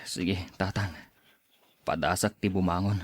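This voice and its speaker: Man acting heartbroken, low-voiced young Ilocano man. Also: steady tone, unhurried, no echo, studio quality. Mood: sad resignation. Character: heartbroken young man wronged by his lover, self-pitying. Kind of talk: Ilocano radio drama acting.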